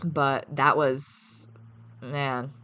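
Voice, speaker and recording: A woman's voice: rumbling low, flat delivery, very slow, bright, forceful tone. A young woman from Brazil, a guest chatting casually in an interview, laughing often between her thoughts. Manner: laughs often, hesitant fillers.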